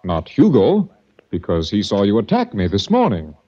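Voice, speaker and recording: A sinister, overly polite asylum director with a dark, husky voice. He draws out his threats in a lilting way, and the audio is dull, like old radio. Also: lowish voice, huge pitch swings, normal speed. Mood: menacing. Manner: silky, menacing politeness. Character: smooth, sinister male sanitarium director. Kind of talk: 1940s radio acting.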